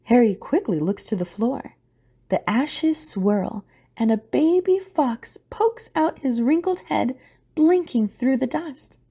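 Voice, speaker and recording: A female narrator with a mellow, husky voice that sits a little high. She reads scripted scene descriptions for an audio drama. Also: animated, slow.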